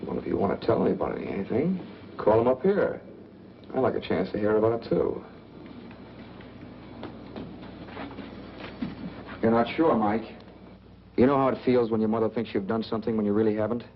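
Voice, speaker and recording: An actor playing a smooth, calculating fugitive who feigns innocence, in 1940s film noir style. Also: male voice, on the low side, fast, a little hiss or hum, close to an everyday voice. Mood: coaxing, menacing. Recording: dull old film soundtrack with music.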